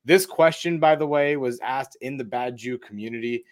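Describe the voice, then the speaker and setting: Male podcast host, medium pitch, friendly and informal interviewer. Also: normal speed. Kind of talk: casual interview and introductions.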